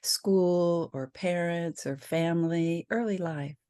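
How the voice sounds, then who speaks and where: An older woman hosts a relaxed podcast interview, speaking slowly with a slightly low voice. She chats casually and laughs often between her questions. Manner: slow, warm, laughs often. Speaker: podcast host, psychologist.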